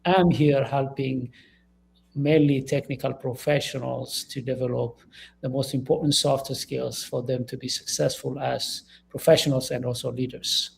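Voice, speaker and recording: A man's voice: medium pitch, level tone, normal speed, vocal fry. A middle-aged man from Ethiopia, a leadership coach speaking as an interview guest, earnest and emphatic.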